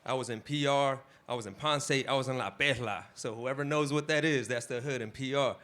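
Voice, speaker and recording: A man's voice: medium pitch, fairly quick, a bit bright. Laid-back man from Jersey. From New Jersey. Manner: loose, rambling, swears freely. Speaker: audience member. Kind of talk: casual speech at a community panel.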